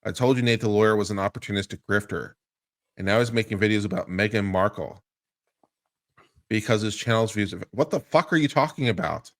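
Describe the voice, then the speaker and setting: A man's voice: lowish voice, a little fast. A man giving a dramatic reading of a lawsuit, mixing formal reading with casual, profane, incredulous commentary. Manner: profane, incredulous asides between formal read passages. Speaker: legal commentator.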